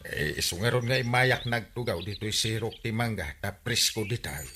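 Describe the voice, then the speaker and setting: A man's voice: on the low side, animated, moderate pace, natural voice. A man acting a hesitant, put-upon Filipino villager in a comedy radio drama, peppering his talk with "eh". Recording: clearly noisy radio recording.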